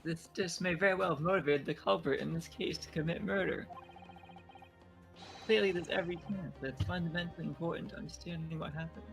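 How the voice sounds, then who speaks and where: Young defense attorney, courtroom game, played by a man. Earnest, gravelly fry, music underneath. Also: a little high, fairly quick, pitched above the performer's own voice, rough recording. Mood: insistent.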